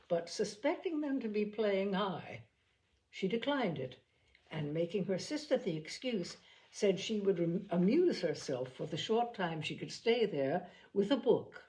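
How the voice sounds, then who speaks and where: A woman reads literary fiction aloud as a storyteller. Her mid-pitched voice moves up and down with lively expression through the narration. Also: poor audio. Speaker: audiobook narrator.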